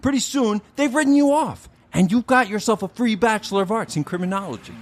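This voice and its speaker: An actor playing a cocky, laid-back punk musician with a casual, glib manner in an audio drama. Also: male voice, high voice, a little fast, close to an everyday voice. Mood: glib, dismissive.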